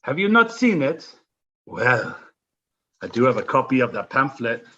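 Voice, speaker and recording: A villainous French nobleman for an audio play. The voice is sharp-edged and raspy, grandly theatrical and full of mocking relish, with drawn-out, florid phrases. The recording sounds a little dull. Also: medium pitch, sing-song, heavily acted. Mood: taunting, theatrical.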